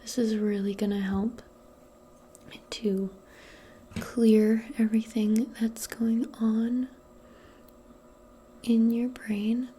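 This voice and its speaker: Female ASMR role-player: slow, soothing, breathy near-whisper, faint noise behind the voice. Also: fairly high pitch, flat delivery. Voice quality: breathy, mostly whispered soft voice. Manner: gentle, soothing, unhurried, conversational. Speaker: ASMR performer role-playing a modern witch. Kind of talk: soft-spoken ASMR personal attention role play.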